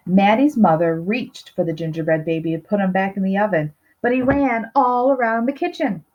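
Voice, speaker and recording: A woman's voice: mid-range voice, moderate pace. A woman reading a children's storybook aloud expressively, with little asides to the listeners. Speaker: storybook reader. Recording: slightly dull, lo-fi sound.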